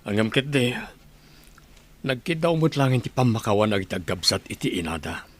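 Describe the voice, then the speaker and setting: A man playing an earnest, gentle father in an Ilocano audio drama, speaking with an Ilocano accent. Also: fairly low pitch, big pitch swings, moderate pace. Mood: earnest, apologetic. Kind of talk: radio drama acting.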